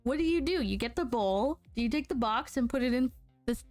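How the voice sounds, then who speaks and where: A young adult woman hosting a relaxed interview, her voice a little high. She teases her guest playfully and laughs easily. Faint music sits behind her. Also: a little fast. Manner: playful, teasing, laughs often. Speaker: interview host. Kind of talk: casual interview chat.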